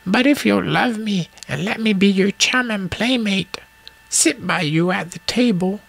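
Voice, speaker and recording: A woman voicing a small male talking frog in a storybook tale, creaky and pleading, with drawn-out delivery. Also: mid-range voice. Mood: bargaining, coaxing. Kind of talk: acted storybook dialogue.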